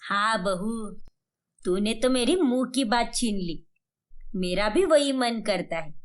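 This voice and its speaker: A woman's voice: a little high, expressive, slow, voice pushed down. A woman voicing a scheming, bossy middle-aged mother-in-law in a colloquial, assertive way for a cartoon story. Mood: bossy, chatty.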